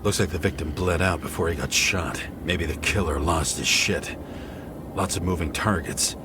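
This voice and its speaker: A man's voice: low-pitched, normal speed, background noise, lightly acted. An actor playing a weary, cynical middle-aged detective in a noir game, dryly and sardonically muttering his deductions. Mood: musing, analytical. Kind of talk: noir game voice acting, investigative monologue.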